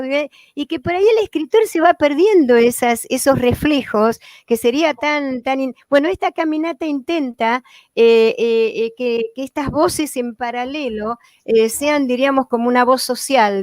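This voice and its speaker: Hostess, high voice, lots of ehs as she hunts for words. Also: no room sound, studio quality. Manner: searching for words, many fillers. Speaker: presenter. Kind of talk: hosting conversation.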